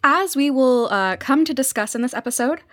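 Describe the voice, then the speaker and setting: Female podcast guest, fairly high voice, droll enthusiasm, dry studio sound. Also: expressive, normal speed, no room sound. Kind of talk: casual chat.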